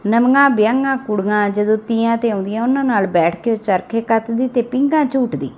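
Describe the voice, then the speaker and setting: A woman's voice: a little high, a little fast, darkish tone. Woman narrating a story. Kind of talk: scripted narration of a sad family story.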